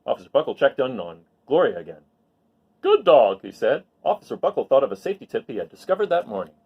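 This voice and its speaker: A man reading a children's picture book aloud expressively, pausing for asides and questions to his listeners. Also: mid-range voice, very animated, creaky, husky, highs cut off. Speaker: read-aloud storyteller.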